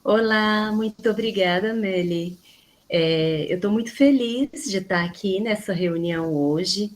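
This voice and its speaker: A Brazilian woman anthropology professor introducing herself on a video call, measured and full of pauses. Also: medium pitch. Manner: slow, measured, many hesitations.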